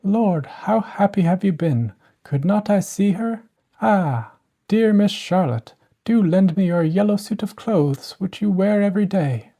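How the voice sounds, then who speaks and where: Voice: medium pitch, expressive, a little slow, warm tone, pitched above the performer's own voice. A narrator voicing a sweet, meek young woman with a wistful, pleading way in a storybook fairy tale. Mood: sweetly coaxing.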